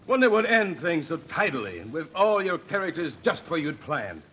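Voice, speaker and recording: An actor playing a methodical male courtroom investigator who presses accusations in a measured way, in an old radio drama. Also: on the high side, big pitch swings. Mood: accusing. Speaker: lead detective. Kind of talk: 1940s radio acting. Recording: dull old radio broadcast with faint hiss.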